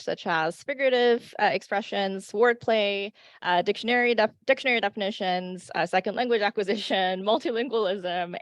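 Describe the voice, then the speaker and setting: A woman presenting an academic paper at a conference, reading in a measured way with frequent "uh" fillers and restarts. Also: medium pitch, no echo. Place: conference session.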